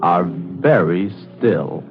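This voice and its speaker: A man narrating a 1940s radio drama as announcer, in a measured, solemn and dramatic delivery. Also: low-pitched, expressive, creaky, full character voice. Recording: old lo-fi radio recording, loud music.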